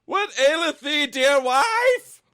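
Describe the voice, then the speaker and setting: A man's voice: very high-pitched, sing-song, takes it slowly, bright, forceful tone, pitched above the performer's own voice, exaggerated voice. A man voicing an overwrought, devoted fairy-tale husband with archaic, declamatory delivery in a parody audiobook. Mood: alarmed, dramatic. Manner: melodramatic, declamatory, archaic diction.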